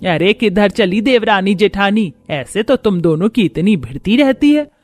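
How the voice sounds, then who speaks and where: A woman's voice: medium pitch, animated, normal speed, darkish tone, no echo, faint music behind, close to an everyday voice. A woman voicing an older mother-in-law, nosy yet sentimental, in a family story.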